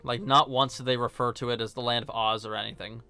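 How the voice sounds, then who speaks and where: A man's voice: medium pitch, little pitch movement, fast, pushed and bright, clean tone, soft background music. A man giving scripted video-essay commentary in a sarcastic, informal, joking manner. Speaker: video reviewer and host.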